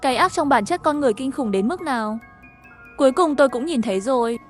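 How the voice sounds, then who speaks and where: A woman's voice: sits high, animated, fast. A woman reading a scripted first-person story as its narrator, with music behind her.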